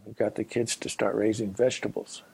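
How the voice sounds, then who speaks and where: An older man from Colorado, a former Peace Corps volunteer and geography professor, recalling his experiences in an unhurried, halting way with many pauses. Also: lowish voice, flat delivery, vocal fry, a little mellow, husky, low-quality recording. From Colorado, USA. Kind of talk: reminiscing personal story.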